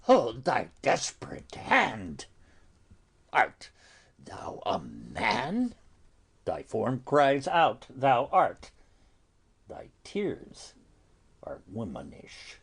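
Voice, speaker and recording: Shakespeare audio drama: exasperated holy friar played by a man, breathy husky voice, drawn-out deliberate phrasing, highly varied intonation. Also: full character voice. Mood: stern rebuke. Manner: slow, deliberate, dramatic, dropping into hushed breaths. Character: stern, exasperated male friar counselling a youth. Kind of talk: classical stage tragedy in audio.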